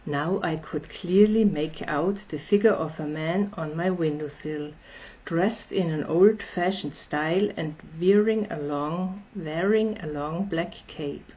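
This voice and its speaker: A woman's voice: fairly low pitch, slow pace, warm tone. A woman from Austria with an Austrian accent, a German native speaker, hosting her channel and reading a story aloud with friendly, chatty asides. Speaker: channel host. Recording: slightly dull lo-fi audio.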